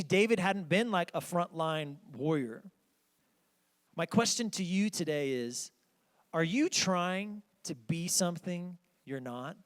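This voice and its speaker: A man preaching a sermon with a small, squeaky voice, telling the story in an earnest, conversational way with lots of "like".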